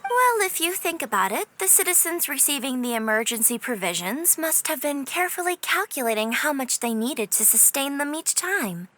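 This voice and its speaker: A woman voicing a composed, polite, shrewd shopkeeper in a video game, measured and courteous. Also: sits high, very animated, sharp tone, slight background noise, exaggerated voice. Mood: explanatory. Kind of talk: video game cutscene voice acting. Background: game music.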